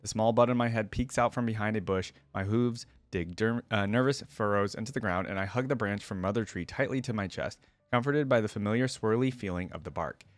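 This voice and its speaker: A shy, clumsy young fawn girl from a fantasy storybook, read by a man in a fairly low voice. The telling is gentle and hesitant, first person, in a dry close recording. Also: fairly quick, hardly any pauses, no room sound, close to an everyday voice. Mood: timid. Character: shy, timid, clumsy young female fawn dream spirit.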